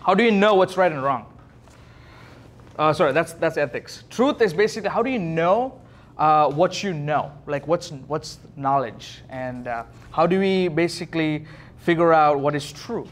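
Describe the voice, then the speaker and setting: A man's voice: a little high, expressive, moderate pace. A man from Sri Lanka teaching as a youth pastor in a casual, question-driven way, explaining things informally. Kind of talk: casual interactive teaching talk.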